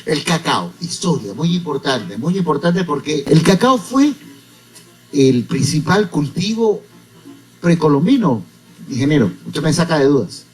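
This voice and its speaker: A man's voice: on the high side, sing-song, unhurried, a little mellow, husky, low-quality recording. A man hosting a radio interview, asking curious questions and stressing his points. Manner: inquisitive, emphatic questions. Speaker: radio host.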